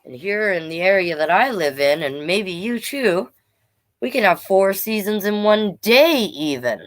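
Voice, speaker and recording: A woman with a Canadian accent reading picture books aloud to children, playful and expressive, with chatty asides. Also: mid-range voice, unhurried. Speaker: drag performer hosting children's storytime.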